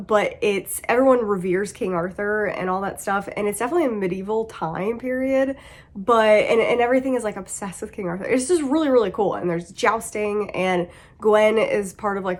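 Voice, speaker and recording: A woman in her thirties with a sick, congested voice, chatting about her monthly reading at home, laughing and breaking off mid-sentence. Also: mid-range voice. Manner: chatty, laughing, self-interrupting. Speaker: book vlogger. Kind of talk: casual reading wrap-up chat.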